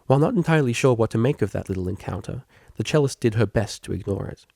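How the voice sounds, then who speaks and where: A man reading scripted fiction aloud as a narrator, with a creaky voice. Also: fairly low pitch, animated, quick pace, warm tone, no echo, clean studio sound. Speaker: audiobook reader.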